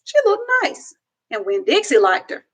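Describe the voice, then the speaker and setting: A young woman reading a children's book aloud at home into a webcam, with slow, expressive storytelling. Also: a little high, husky. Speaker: reader. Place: home room, indoors.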